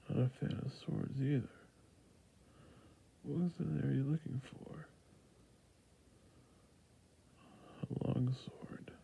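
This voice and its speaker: A man playing a friendly, humble fantasy merchant in a soft-spoken, soothing ASMR roleplay, with a breathy, gravelly murmur. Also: mid-range voice, full character voice, poor audio. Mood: patient, attentive. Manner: soft-spoken, half-whispered, soothing shop patter. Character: friendly humble male fantasy shopkeeper, obliging merchant and fletcher.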